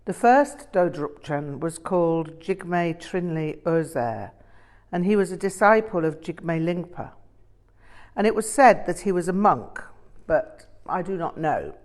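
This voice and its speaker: A woman reading the part of an older male Tibetan Buddhist master in an audiobook, telling stories in a deliberate, emphatic way. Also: lowish voice, animated, darkish tone. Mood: didactic, blunt.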